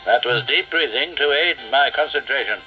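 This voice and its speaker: A man's voice: medium pitch, animated, moderate pace, close to an everyday voice. A man voicing a pompous, indignant male minister in a cartoon, with a blustering way of talking. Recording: dull lo-fi soundtrack with faint music.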